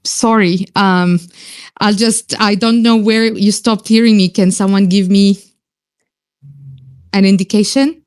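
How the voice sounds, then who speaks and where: A woman's voice: mid-range voice, fairly even intonation, a little slow, pauses often, darkish tone, clear, resonant tone. A woman in her thirties who grew up in Israel, a native Arabic speaker, speaking earnestly with frequent hesitations as a guest in a live online audio discussion. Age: 30s.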